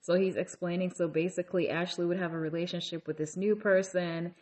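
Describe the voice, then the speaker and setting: A woman's voice: fairly even intonation, fairly quick, darkish tone. A woman hosting a casual reality TV recap, chatty and conversational, with many fillers and asides, raising her voice to act out quoted lines. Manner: acts out quoted lines in a raised pitch. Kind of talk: casual episode recap commentary.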